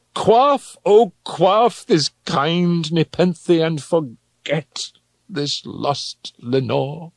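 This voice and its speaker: A man acts out an anguished gothic poem's narrator at his wits' end. The voice is pushed high and swings widely, imploring and theatrical, in a dry recording. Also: no echo, full character voice. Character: anguished, desperate man crying out at a raven. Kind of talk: dramatic poetry recitation.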